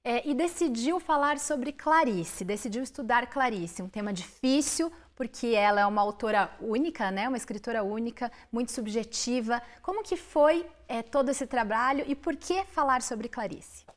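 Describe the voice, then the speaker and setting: A woman's voice: on the high side, animated, normal speed, hardly any pauses. A woman hosting an interview, asking long, carefully framed questions of her guests. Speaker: interviewer host.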